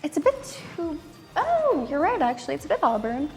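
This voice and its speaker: A woman's voice: high voice, wildly swooping pitch, a little fast, a little mellow, soft background music. A young woman chatting casually as a guest on a live stream, with an opinionated, teasing way of talking. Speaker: panel guest. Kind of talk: casual chat. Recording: echoey room, long reverb.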